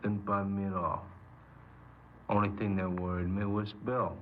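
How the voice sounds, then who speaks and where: An actor playing a cold, remorseless, cocky killer and burglar in a 1950s radio crime drama, speaking in a clipped, matter-of-fact, commanding way. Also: male voice, sits low, flat delivery, normal speed, lightly acted. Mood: detached, confessing. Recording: noisy, dull 1950s radio recording.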